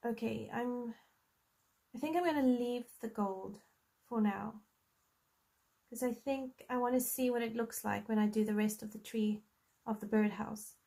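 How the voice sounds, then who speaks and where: Chatty South African woman, slightly high. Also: a little slow, creaky, warm tone. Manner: chatty, friendly, thinks aloud with many ums. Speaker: coloring channel host. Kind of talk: casual live-stream chat while coloring.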